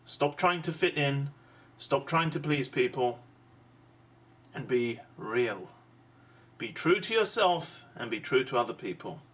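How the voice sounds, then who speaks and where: A man delivering a deliberate, emphatic monologue of social commentary, pausing between phrases. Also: mid-range voice, lively intonation, fairly bright tone. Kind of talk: slow rhetorical monologue. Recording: distant mic, clearly noisy background.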